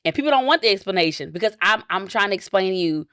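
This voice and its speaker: A woman, talking openly as a guest in a casual interview, animated and self-reflective with many restarts. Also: fast, clean tone, no room sound, studio quality. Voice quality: deep voice.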